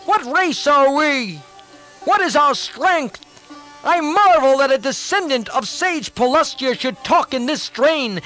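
A man's voice: fairly bright tone, heavily acted, rough recording. A man voicing an arrogant, hot-headed young prince who scornfully rejects his uncle's advice. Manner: indignant, scornful, high and strained.

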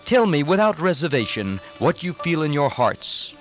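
A man voicing a calm, dignified princely leader, speaking with measured, courteous authority in an epic story. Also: a little high, faint music behind. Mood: calm, deliberative.

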